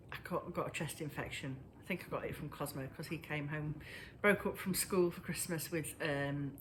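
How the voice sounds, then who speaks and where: Woman, breathless and wheezy, halting casual chat, home camera mic, faint hiss. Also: low-pitched, fairly bright tone. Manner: halting, pauses often. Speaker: knitting vlogger. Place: at home indoors.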